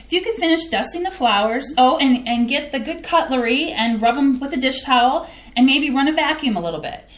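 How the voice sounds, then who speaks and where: An actress voicing a flustered Midwestern housewife, swinging up and down in pitch as she fusses. Her voice sits somewhat high. It rings around a reverberant theatre hall. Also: animated, a little fast. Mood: excited, flustered. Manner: flustered, fussy and eager. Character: excitable Midwestern housewife and mother. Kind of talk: staged play reading. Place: theatre stage.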